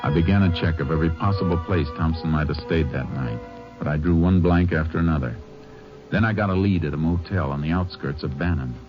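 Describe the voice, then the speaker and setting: A male actor with an American accent playing a steady, matter-of-fact Texas Ranger investigator in an old radio drama. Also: extremely low pitch. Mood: grave. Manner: clipped, matter-of-fact, deliberate. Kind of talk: 1950s radio drama acting and narration. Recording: dull vintage radio recording, music behind.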